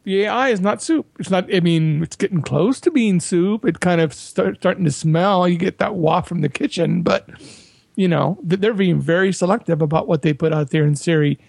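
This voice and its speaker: Gravelly, husky man chatting animatedly. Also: moderate pace, no echo. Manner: animated, folksy, jumps to higher pitch when joking. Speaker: podcast panelist. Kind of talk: casual tech chat.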